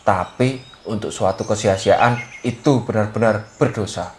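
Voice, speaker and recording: Pious old male sage acted by a man for children's folktale; lowish pitch, solemn, remorseful gravity, slight outdoor bird noise. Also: natural voice. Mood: solemn, admonishing. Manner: solemn, admonishing, measured. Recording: noisy track with birdsong. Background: birdsong and outdoor ambience.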